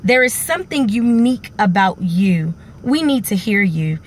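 A woman's voice: mid-range voice, sing-song, moderate pace, slight background noise. A middle-aged woman, a teacher and singer, giving an earnest, encouraging talk to her viewers from a parked car, filmed on a phone held at arm's length. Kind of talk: inspirational vlog talk.

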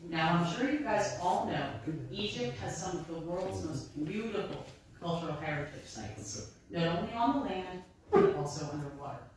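Chatty woman lecturing in an echoey library. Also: lowish voice. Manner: friendly, chatty presenter addressing the audience directly. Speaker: maritime archaeologist giving a public talk. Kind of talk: public lecture with slides. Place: library room with bookshelves, indoors. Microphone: handheld microphone. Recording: very echoey room, voice from a distance.

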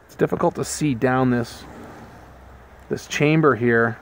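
A man in his thirties hosting a casual homesteading vlog, talking in a relaxed, rambling way with many ums and restarts, recorded on a handheld camera outdoors and in a workshop. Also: medium pitch, unhurried, a little hiss or hum. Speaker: homesteading channel host. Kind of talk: casual hands-on vlog narration. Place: outdoors beside a pickup truck in snow, later a workshop.

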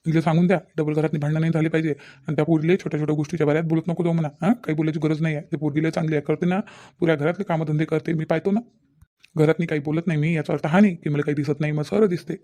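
A man's voice: on the high side, flat delivery, rapid-fire, dark tone, natural voice. A man playing a distrustful middle-aged village father-in-law in a cartoon comedy, nagging sceptically and repetitively with a Vidarbha Marathi accent. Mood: grumbling. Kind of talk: cartoon family comedy dialogue.

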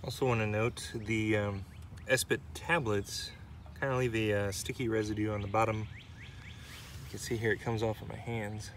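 A man's voice: fairly low pitch, level tone, moderate pace. Chatty man cooking in the woods. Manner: chatty, self-deprecating, thinks aloud with fillers. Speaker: outdoor vlogger. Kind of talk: casual outdoor cooking commentary. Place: outdoors in autumn woods. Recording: outdoor recording with clear background noise.

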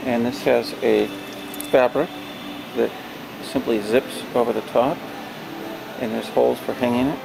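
A man with a rough, gravelly voice walks a large warehouse with a handheld camera, showing off a used trade show booth for sale. He talks plainly, with lots of pauses and fillers. Steady background noise from air conditioning and vehicles runs under the camera audio. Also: medium pitch. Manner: plain, unhurried sales description with many pauses and fillers. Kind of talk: walkthrough tour. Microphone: handheld camera held by the speaker.

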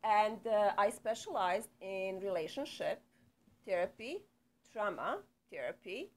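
A middle-aged woman from Serbia with a Serbian accent, a psychotherapist guest speaking in a casual interview, unhurried and full of small hesitations, recorded in a small indoor studio. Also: medium pitch, animated. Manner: unhurried, frequent hesitations, light laughs.

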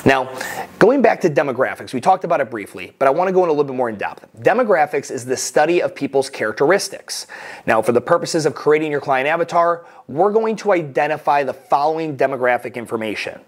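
A man's voice: fairly high pitch, animated, quick pace. A middle-aged man giving a recorded slide lesson as a course instructor, coaching in a plain, direct way through lists of tips. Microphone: webcam inset over slides.

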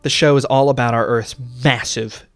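A man hosting a video essay, reading scripted narration with a deliberate, dramatic storytelling delivery. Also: mid-range voice, steady tone. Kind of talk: scripted narration of horror series recap. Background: background music.

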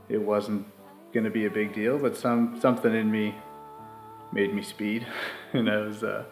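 A man in his thirties telling a personal story in a steady, flat way with fillers, in a living room. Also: fairly low pitch, echoey room, low-quality recording. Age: 30s. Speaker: grieving brother. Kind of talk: personal testimony.

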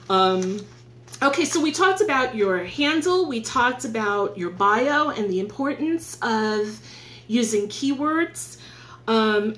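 A woman's voice: fairly high pitch, echoey room. A woman in her forties with a New York accent, chatting upbeat to viewers in a casual live stream from her home office, filmed on a phone on a stand. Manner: chatty, upbeat, thanks viewers as she goes. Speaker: live streamer giving tips. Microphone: phone on a stand at arm's length.

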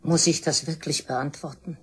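Older woman doctor, crime audio drama, really raspy low voice, guarded. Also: steady tone, normal speed, fairly bright tone, full character voice. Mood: guarded, resigned. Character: guarded older female doctor, mother of grown sons. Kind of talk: German crime radio play acting.

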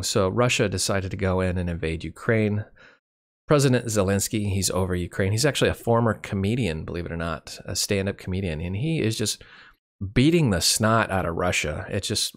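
A middle-aged man hosting his own channel, talking casually and candidly through his year, his voice hoarse and cracking. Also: lowish voice. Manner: candid, rambling, wry. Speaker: YouTube channel host. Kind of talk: casual year-in-review monologue.

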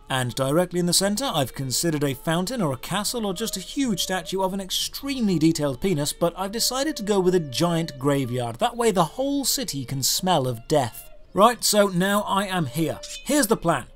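A man delivering scripted comedic review narration in a sardonic, deadpan manner into a close microphone. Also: high voice. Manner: sardonic, dry deadpan humour. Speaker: video game reviewer, host. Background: clear background music.

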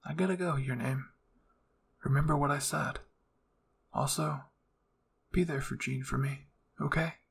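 A man voicing a soft-spoken, regretful male spirit of a fallen soldier, earnest in manner. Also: on the high side, a little slow, vocal fry, long pauses, breathy edge, full character voice. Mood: gentle regret.

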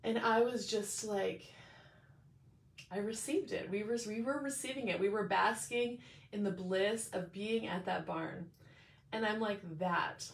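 A woman's voice: medium pitch, a little slow, vocal fry. A woman in her thirties, host of her own coffee chat, talking casually and reflectively to a phone camera at home. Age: 30s. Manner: animated, reflective, speaks with hand gestures. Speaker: life coach and show host. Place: small room indoors at home. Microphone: phone camera at arm's length. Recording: echoey room.

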